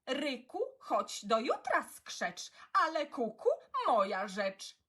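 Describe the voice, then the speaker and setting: A woman voicing an angry, blustering rooster from a children's poem, crowing in loud, shrill, indignant outbursts. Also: very animated, takes it slowly, breathy edge, heavily acted. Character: angry, blustering rooster defending his turf.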